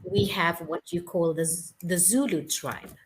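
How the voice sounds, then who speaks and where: A woman's voice: on the low side, steady tone, measured pace, slight background noise. A South African woman tutoring English on a video call, explaining patiently and checking that she is understood. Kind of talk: casual video-call conversation.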